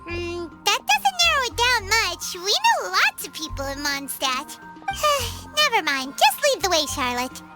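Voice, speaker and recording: A woman voicing a small, childlike female fairy companion in a video game, squeaky, curious and cheeky with expressive delivery. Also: measured pace, over loud music, full character voice. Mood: musing. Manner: exaggerated, expressive. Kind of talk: animated video game voice acting.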